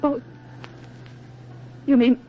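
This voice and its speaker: A woman's voice: extremely slow, a little hiss or hum. An actress playing a devoted, wistful young working woman in love, heartfelt, in 1940s radio style. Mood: distressed. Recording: muffled vintage 1940s radio recording.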